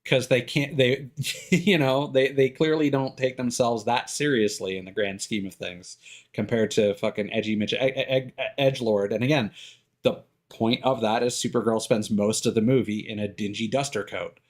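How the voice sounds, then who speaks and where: A man streaming live, talking casually and giving his opinions in a halting way, circling back over his words. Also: mid-range voice, steady tone. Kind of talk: casual opinionated rambling on a livestream.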